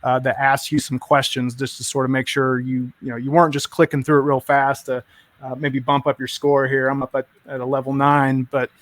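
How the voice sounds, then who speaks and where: A man's voice: mid-range voice, level tone, brisk, fairly bright tone, no room sound. A man chatting enthusiastically and rambling a bit on a tech stream, full of fillers. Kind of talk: casual tech chat.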